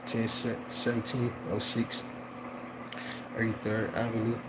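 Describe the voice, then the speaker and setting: A man reading aloud in a casual, halting way, repeating phrases and dropping in informal off-the-cuff comments. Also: lowish voice, completely flat delivery, heavy background noise. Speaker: host reading aloud from an old newspaper.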